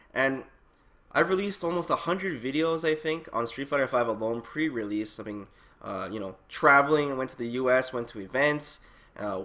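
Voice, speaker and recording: A man's voice: mid-range voice, a bit bright. A man hosting a video game channel, giving an update in an informal, conversational way, speaking directly to his viewers. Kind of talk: casual channel update talk.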